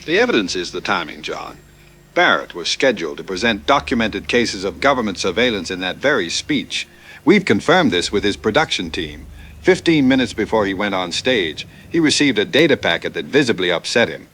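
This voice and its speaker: A man's voice: on the low side, very animated, fairly quick, fairly bright tone. A man playing a combative, insistent populist talk show host in a news debate drama. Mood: accusing, defiant. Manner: insistent, confrontational.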